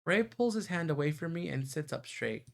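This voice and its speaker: A man's voice: a little high, lively intonation, moderate pace, gravelly. A man streaming a visual novel, reading its narration aloud and reacting live. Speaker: game streamer. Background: game music.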